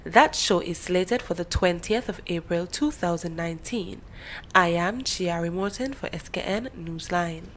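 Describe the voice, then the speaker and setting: A woman news reporter delivers a scripted report. Her voice sits on the low side. There is clear noise on the recording. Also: a little slow. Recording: clearly noisy background.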